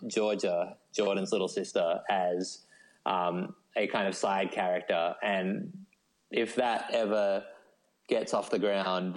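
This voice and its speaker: A man's voice: lowish voice, low-quality recording. A man speaking as a guest novelist in a casual interview, rambling and self-deprecating, with hesitant starts. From Australia. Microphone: phone call.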